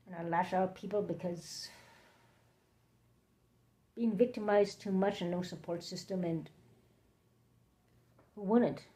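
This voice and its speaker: A woman with a hoarse, scratchy throat, hosting a rambling late-night livestream chat from home, halting and drifting between topics. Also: lowish voice, warm tone. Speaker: livestream host.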